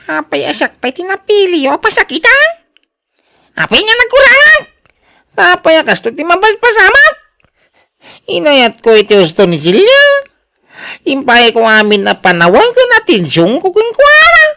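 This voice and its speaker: An actor playing a wounded, jealous older brother with impassioned delivery in a radio drama. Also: male voice, extremely high pitch, wildly swooping pitch, moderate pace, gravelly, pushed and bright, exaggerated voice. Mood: wounded, accusing.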